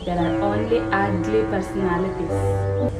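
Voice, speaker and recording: Woman playing a slow-spoken, wise old snake. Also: medium pitch, fairly even intonation, voice pushed down, close to an everyday voice. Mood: wise, reassuring. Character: wise old male snake, kindly mentor to a caterpillar. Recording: roomy sound with music underneath. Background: music.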